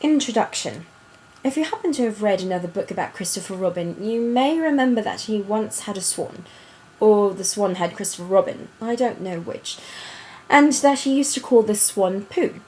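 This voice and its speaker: A woman's voice: medium pitch, lively intonation, normal speed, mellow, close to an everyday voice. Woman as whimsical storybook narrator, noisy room. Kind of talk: expressive children's book reading.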